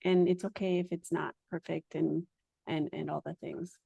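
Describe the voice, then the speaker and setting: Podcast host: woman, native Hungarian speaker, lower pitch, rambling with frequent hesitations, dry sound. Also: steady tone, moderate pace, creaky, mellow, breathy edge, no room sound. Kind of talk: casual podcast conversation.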